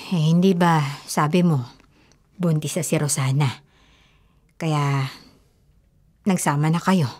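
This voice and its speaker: An actress with a Filipino accent playing a concerned, admonishing older sister in a radio drama, speaking expressively. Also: female voice, low voice, steady tone, slow pace, long pauses, darkish tone, slightly rough, full character voice. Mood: hesitant, concerned. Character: concerned, nagging older sister of a young man. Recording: faint music bed behind dialogue.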